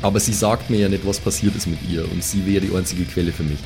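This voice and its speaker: A man's voice: low-pitched, steady tone, fast. A man playing a tabletop game, thinking aloud in a hesitant, slangy, casual way. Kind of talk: casual improvised tabletop play. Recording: music behind the voice.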